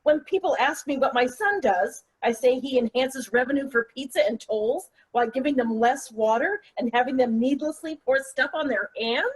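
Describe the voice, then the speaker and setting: Woman acting a prim mother, high and husky. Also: moderate pace, hardly any pauses, rough recording. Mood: disapproving. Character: prim, skeptical mother of a grown son. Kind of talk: virtual table read of a stage comedy-drama.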